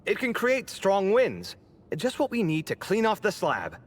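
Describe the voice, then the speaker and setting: A woman playing a sardonic, inquisitive young adventurer in a video game, with a dry, expressive delivery. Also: mid-range voice, measured pace, slight background noise. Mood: curious. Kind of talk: video game character dialogue.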